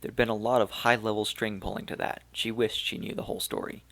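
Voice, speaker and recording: A man narrating a science fiction audiobook in a gravelly voice, with even, unhurried storytelling. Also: on the low side. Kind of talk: scripted narration.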